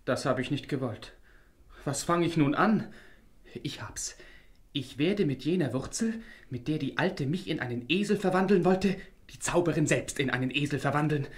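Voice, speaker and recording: An actor playing a brave, resolute young hero in a fairy-tale audio drama, with earnest, formal diction. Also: male voice, fairly high pitch, lively intonation, moderate pace, close to an everyday voice. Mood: worried, scheming.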